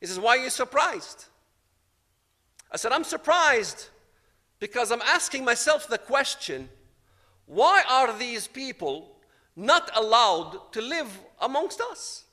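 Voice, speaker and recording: A man's voice: extremely high pitch, very animated, slow, pauses often, sharp tone, long reverb tail. A man from Palestine giving a personal testimony, telling his story dramatically and acting out the dialogue. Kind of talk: storytelling talk to an audience.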